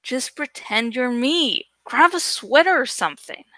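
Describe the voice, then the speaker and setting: A woman's voice: high voice, lively intonation, unhurried, fairly bright tone, dry recording. A woman voicing a confident, teasing teenage girl who gives orders to her friend in a storybook reading. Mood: bossy. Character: teenage girl narrator-heroine, brisk, bossy, teasing friend.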